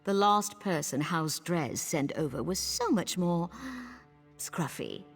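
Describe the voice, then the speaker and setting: A woman's voice: on the low side, animated, slow pace, gravelly, in a large hall, heavily acted. A woman playing a seductive, haughty noblewoman in a video game, with a sultry, condescending, theatrical delivery. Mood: flirtatious. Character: seductive, haughty noblewoman, flirtatious and coldly dismissive. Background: game music.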